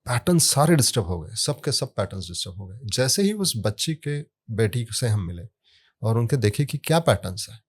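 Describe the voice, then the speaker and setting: A man explaining sound therapy in a relaxed podcast conversation, telling stories from his work and leaning hard on key words. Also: medium pitch, a little fast, slightly rough. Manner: storytelling with anecdotes, emphatic rises on key words.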